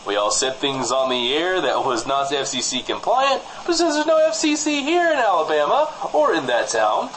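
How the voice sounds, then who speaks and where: A man's voice: a little high, wildly swooping pitch, moderate pace, hardly any pauses, background noise. A man playing a breezy small-town radio co-host who needles with mock-earnest sincerity in comedy banter.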